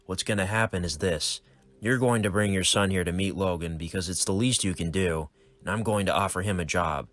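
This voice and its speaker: A cold crime boss with a low, quiet, threatening way of speaking, as a man puts him on. The recording is close and dry. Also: brisk, dry recording. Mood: menacing.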